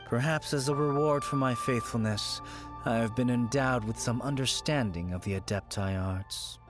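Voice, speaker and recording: A man playing a serene, wistful and cryptic shrine dweller in a video game, measured and poetic. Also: medium pitch, music in the background, natural voice. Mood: knowing.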